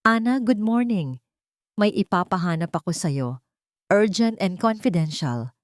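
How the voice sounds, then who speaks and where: A woman's voice: mid-range voice, expressive, unhurried, dry recording, studio quality, natural voice. A woman voicing a wealthy, commanding man plotting revenge, giving controlled, clipped orders in an audio drama. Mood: brisk, urgent.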